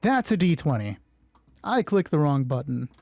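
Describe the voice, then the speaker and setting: A man narrating a solo tabletop roleplay as host, moving between measured storytelling and casual asides. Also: fairly high pitch, big pitch swings, gravelly, a little mellow, no echo.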